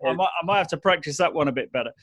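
A man's voice: fairly high pitch, rapid-fire, a bit bright. A man hosting a podcast, chatting in a friendly way with frequent restarts. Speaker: podcast host. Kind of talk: casual podcast chat.

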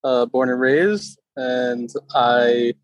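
A man in his thirties from Connecticut, a personal trainer talking casually in an interview, relaxed with many fillers. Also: mid-range voice, extremely slow, no echo. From Connecticut, USA. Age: 30s.

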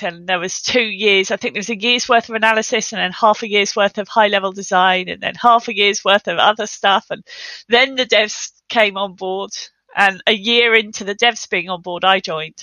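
A middle-aged woman with a bright, forward voice, recorded close and dry. She talks as an interview guest in a chatty, emphatic storytelling way, now and then correcting herself. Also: medium pitch, steady tone, moderate pace, no echo, poor audio. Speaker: podcast guest, software consultant.

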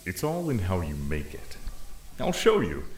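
A man voicing a gentle, earnest alien warden in a fiction podcast drama, calm and coaxing. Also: sits low, unhurried, echoey room, a little hiss or hum, exaggerated voice. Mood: gently coaxing.

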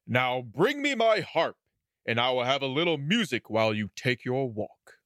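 Man doing a slow, menacing giant. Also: medium pitch, sing-song, fairly bright tone, no echo, clean studio sound. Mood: commanding. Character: roaring huge male giant, brutish and greedy.

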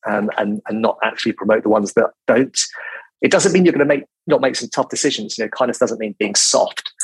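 Quiet, considered exec guest, forties; low, slightly husky voice, dry studio sound. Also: male voice, no echo, low-quality recording. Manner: quiet, calm, many restarts and repeated words. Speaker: recruitment business leader, podcast guest. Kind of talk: measured business interview talk.

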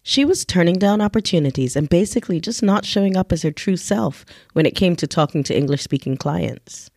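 A woman's voice: fairly low pitch, lively intonation, brisk, gravelly, darkish tone, no room sound, clean studio sound. A woman hosting a podcast, coaching and interviewing in a conversational style. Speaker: podcast host, business English coach.

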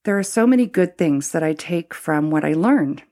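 A middle-aged Canadian woman, a former priest, speaking reflectively in an interview with many pauses, fillers and restarts. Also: low voice, expressive, mellow. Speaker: former Anglican priest, guest.

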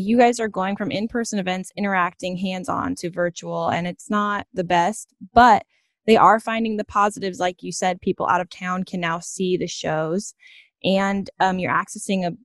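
A woman's voice: fairly low pitch, fairly even intonation, moderate pace, no echo. Encouraging woman hosting a casual interview, dry sound. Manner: encouraging, affirming. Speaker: podcast host.

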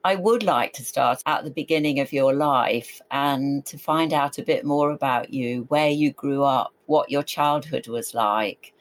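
A man interviewing a guest in a gracious, measured conversational style. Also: mid-range voice.

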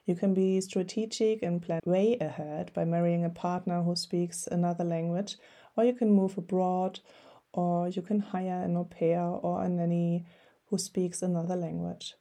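A woman's voice: fairly low pitch, little pitch movement, normal speed, dark tone. A middle-aged woman from Germany with a German accent, hosting her podcast and reading an earnest, encouraging script. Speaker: podcast host.

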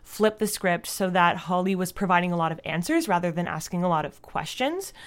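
Woman, slightly low voice, podcast guest, casual interview answers, thoughtful, halting, peppered with "like". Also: expressive. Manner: thoughtful, halting, frequent "like" as a verbal habit.

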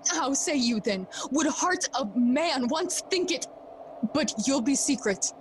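A grieving young prince in a Shakespearean audio drama. The voice is high and very deliberate, with impassioned theatrical phrasing. Faint ambient noise sits behind it. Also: female voice, expressive. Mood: guarded excitement. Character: grieving, feverish young prince sworn to vengeance.

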